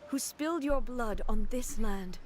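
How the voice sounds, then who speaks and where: A woman voicing a regal, composed goddess ruler in a video game, speaking in a measured, solemn way. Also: a little high, animated, noisy background. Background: game music.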